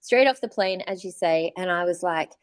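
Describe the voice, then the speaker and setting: A woman's voice: on the low side, normal speed, no room sound. Woman chatting, close dry sound. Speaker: podcast co-host; voice actor. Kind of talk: casual chat.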